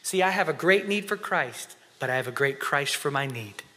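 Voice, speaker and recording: Passionate male preacher, bright and forceful, loud one moment and hushed the next, heard in a reverberant church. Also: a little high, lively intonation, normal speed. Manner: impassioned, shifts between loud emphasis and hushed whispered prayer. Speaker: conference speaker. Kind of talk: sermon. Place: church stage before an audience. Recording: long reverb of a church hall.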